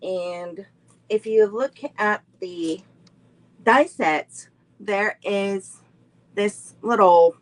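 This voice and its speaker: A woman's voice: mid-range voice, extremely slow, long pauses. A middle-aged woman teaching a live online card making class, walking through each step unhurriedly and greeting viewers between steps.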